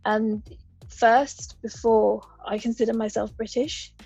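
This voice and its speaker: Husky-voiced Ugandan-born woman explaining. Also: a little high, level tone, takes it slowly, darkish tone, muffled recording, soft background music. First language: a Ugandan language. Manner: thoughtful, explanatory, lays out examples. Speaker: podcast guest. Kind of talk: conversational interview.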